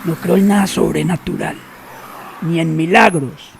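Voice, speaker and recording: An actor playing a secretive, cynical older man in a radio drama, who talks slowly and knowingly and drops to near whispers. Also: fairly high pitch, fairly even intonation, creaky, slight background noise. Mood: sly.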